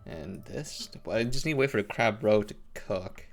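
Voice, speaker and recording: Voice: mid-range voice, lively intonation, normal speed, creaky. A game streamer chatting casually and giving joking, self-deprecating live commentary. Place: dim room at home. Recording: faint game music behind.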